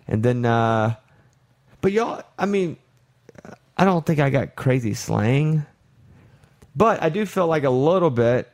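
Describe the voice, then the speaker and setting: Southern male radio host, animated storyteller, mid pitch, casual on-air chat. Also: a little slow, long pauses. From Arkansas, US. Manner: animated storyteller, jumps pitch when excited. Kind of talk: casual banter.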